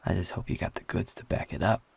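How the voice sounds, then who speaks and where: A man voicing a feisty, flirtatious werewolf in an intimate audio roleplay, gruff, cocky and blunt, with a gravelly, husky voice. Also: quick pace, lightly acted. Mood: flirty, amused. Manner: swearing, teasing, informal and blunt.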